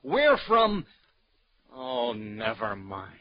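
An actor playing a mild, hapless small-town husband, hesitant and earnest, in old radio drama style. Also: male voice, mid-range voice, wildly swooping pitch, very slow, loud music behind the voice, full character voice. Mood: sheepish, earnest. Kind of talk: 1940s-50s radio drama acting. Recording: dull old radio recording, crowd noise.

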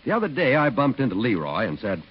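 A dull old radio recording of a male announcer. He reads sponsor copy in polished 1940s salesman style, with lively intonation and a husky edge to his voice. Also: medium pitch, fast talker. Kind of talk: 1940s radio scripted advertising.

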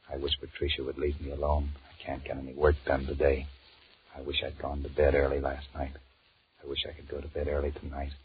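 An actor playing a weary, sullen two-timing American office man in a 1940s radio drama, musing in a deadpan mutter. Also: a bass voice. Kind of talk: 1940s radio drama acting, inner monologue. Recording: muffled, noisy 1940s radio recording.

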